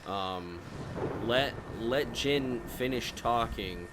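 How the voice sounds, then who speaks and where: A man hosting a gaming video, giving laid-back, halting walkthrough commentary. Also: medium pitch. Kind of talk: casual game walkthrough commentary. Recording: voice-over with noisy game ambience.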